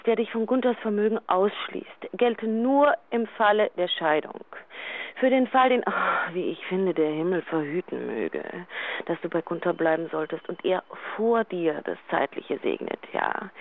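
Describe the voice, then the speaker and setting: An actress playing a chatty, gossipy woman friend leaving an answering machine message in a German radio drama. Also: medium pitch. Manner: gushing, theatrical, hesitant asides. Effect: answering machine phone message. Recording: thin phone line.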